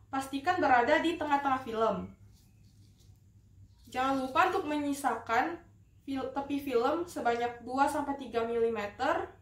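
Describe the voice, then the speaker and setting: High-voiced young woman in an echoey room. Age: young adult. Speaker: dental student operator giving a skills demonstration. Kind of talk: rehearsed instructional demonstration with patient role-play. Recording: echoey room, distant mic, faint noise.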